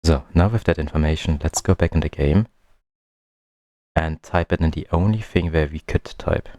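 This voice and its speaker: A man's voice: rumbling low, moderate pace, warm tone, no room sound, clean studio sound. A man giving casual gaming commentary, muttering to himself as he puzzles things out. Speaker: game streamer, let's-player.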